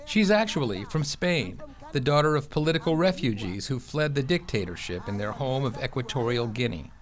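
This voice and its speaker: A man narrating a scripted news feature in a measured, even delivery. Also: medium pitch. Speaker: reporter narrating. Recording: voice-over with music bed.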